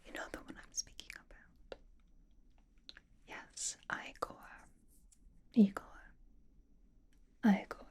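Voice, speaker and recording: A woman playing a flirtatious, possessive female vampire in an ASMR roleplay, softly whispering with teasing endearments. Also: natural voice. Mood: conspiratorial, wry. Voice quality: soft breathy whisper. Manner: slow, teasing, drawling endearments.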